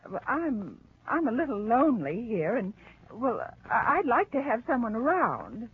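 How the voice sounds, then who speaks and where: Husky, lonely lady of the house. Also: on the high side, sing-song, moderate pace, exaggerated voice. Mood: insistent, wistful. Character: lonely, insistent woman offering a room in her house. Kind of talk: 1940s radio drama acting. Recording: tinny band-limited old radio recording.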